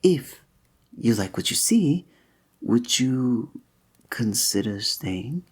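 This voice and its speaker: Guy doing a gentle flirty innkeeper for audio roleplay, breathy, really expressive pitch, talks all formal and olde worlde. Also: takes it slowly, full character voice. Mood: tender, hopeful. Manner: formal old-fashioned diction, gentle and attentive. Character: kindly, flirty male village innkeeper, courteous and tender. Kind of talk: scripted roleplay audio drama, one-sided conversation with the listener.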